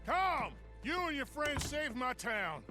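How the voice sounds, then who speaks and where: Anxious townsman, wildly swinging pitch. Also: very animated, slow pace, music in the background, exaggerated voice. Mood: relieved, grateful. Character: anxious, plain-spoken western townsman. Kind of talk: dramatic video game acting.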